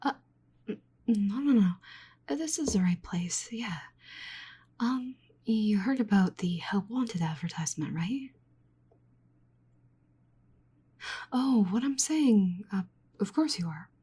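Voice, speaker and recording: Woman voicing a breathy, flustered slime-girl scientist. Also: medium pitch, expressive, unhurried, warm tone. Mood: awkward, hopeful. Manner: rambling, stammering, exasperated and fussy. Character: flustered, nerdy slime-girl researcher living in a swamp. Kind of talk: ASMR roleplay acting.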